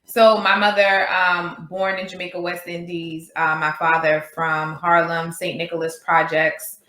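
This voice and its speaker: Slow-talking New woman, bright. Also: on the low side, roomy sound. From Queens, New York. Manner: animated, laughs while talking. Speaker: podcast guest, business co-founder. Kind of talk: casual chat.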